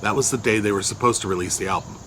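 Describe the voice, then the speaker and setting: An older man with a loud voice casually recounting a memory, unhurried and rambling with many fillers. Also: on the low side, a little hiss or hum. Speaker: storyteller recounting a personal memory. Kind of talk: casual spoken recollection.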